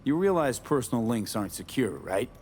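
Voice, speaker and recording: A man's voice: mid-range voice, sing-song, a little fast, darkish tone, a little hiss or hum, lightly acted. A man playing a wary, streetwise cyberpunk mercenary in a video game, with casual, clipped, skeptical delivery.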